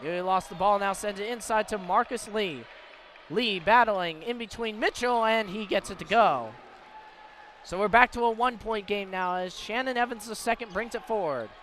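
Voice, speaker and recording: A high-pitched male radio announcer gives live play-by-play of a game. His voice sits over the ambient noise of a sports venue, following the action in the manner of a broadcast commentator. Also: moderate pace, fairly bright tone, some chatter behind. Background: clear ambient venue noise.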